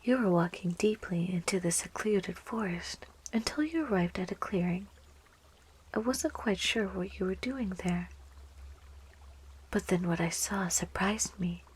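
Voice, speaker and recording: A woman voicing a sweet, clingy yandere plant girl in a soft, coaxing whisper for an ASMR roleplay. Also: mid-range voice, a little hiss or hum, close to an everyday voice, rough recording. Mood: tender, possessive. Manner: coaxing, cooing, giggly, slow.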